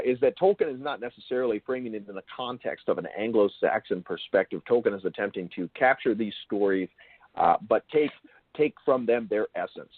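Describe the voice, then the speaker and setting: A man hosting an interview, asking long, wordy, bookish questions in a halting way. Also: fairly low pitch, slightly rough, dry recording. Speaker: interviewer and show host. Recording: thin phone-like call audio.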